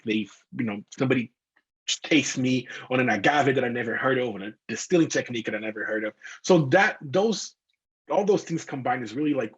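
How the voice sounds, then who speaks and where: A young man from Brazil speaks English. His voice is husky and the sound is a little dull and muffled. He talks eagerly with many fillers. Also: mid-range voice. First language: Portuguese. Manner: enthusiastic, filler-heavy with "like" and "you know". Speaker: bartender and tequila expert. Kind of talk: casual interview talk.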